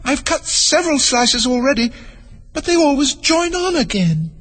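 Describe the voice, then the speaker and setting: A man's voice: very high-pitched, sing-song, moderate pace, fairly bright tone, voice pushed up, full character voice. A man voicing a polite, earnest, puzzled young girl in a storybook, speaking primly. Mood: vexed.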